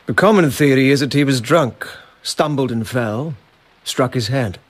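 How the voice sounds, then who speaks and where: An actor playing a proud, cultured court lord in a radio drama, speaking in a formal, restrained and disdainful way. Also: male voice, medium pitch, unhurried, close to an everyday voice. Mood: deferential, wary.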